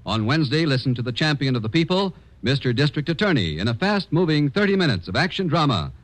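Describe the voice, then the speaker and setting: A man's voice: fairly high pitch, big pitch swings, quick pace, low-quality recording. An American man announcing in a scripted 1950s network radio style. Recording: vintage radio broadcast with music.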